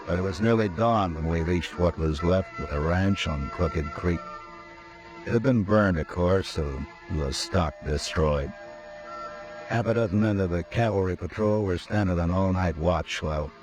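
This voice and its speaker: An actor playing a steady, grim middle-aged frontier lawman, narrating a 1950s radio drama in a measured, plain-spoken way. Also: low voice, music in the background. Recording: dull old radio broadcast recording.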